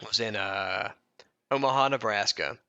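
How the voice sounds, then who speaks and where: A man's voice: lowish voice, a little slow, pushed and bright, clean tone, dry recording, dull audio. A man co-hosting a comedy podcast, mixing mock-biography reading with joking, irreverent asides and prone to breaking into laughter. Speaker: podcast co-host.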